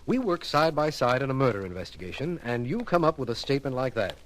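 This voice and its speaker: An actor playing a skeptical investigator's sidekick in an old radio drama, dry and mildly exasperated. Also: male voice, medium pitch, sing-song, quick pace, close to an everyday voice. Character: Markham, wry, skeptical male investigator sidekick.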